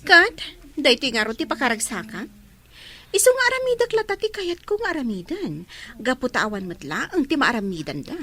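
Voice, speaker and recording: A woman's voice: high-pitched, very animated, a little fast, bright, forceful tone, heavily acted. A woman playing a spoiled, hot-tempered teenage daughter in a radio drama, whiny, petulant and argumentative. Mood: irritated, haughty.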